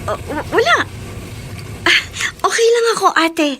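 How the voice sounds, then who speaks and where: A woman playing a timid, respectful young student and younger sister in a radio drama, speaking softly and hesitantly. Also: extremely high pitch, very animated, measured pace, noisy background, exaggerated voice. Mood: evasive, uneasy. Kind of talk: Tagalog radio drama acting.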